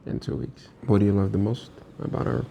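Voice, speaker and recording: A man's voice: fairly low pitch, steady tone, brisk, creaky, lo-fi sound, a little hiss or hum. A man in his twenties co-hosting a couple's vlog in a laid-back way, often answering briefly. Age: 20s. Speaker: co-host of couple channel. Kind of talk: casual chat.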